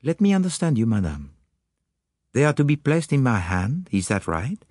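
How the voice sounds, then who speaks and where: A man's voice: medium pitch, big pitch swings, normal speed, darkish tone, no echo, clean studio sound, voice pushed up. A man voicing a courtly, confident Belgian detective with a French-speaking accent and formal, precise phrasing, in an audiobook story. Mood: courteous, measured. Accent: French-speaking Belgian, put on.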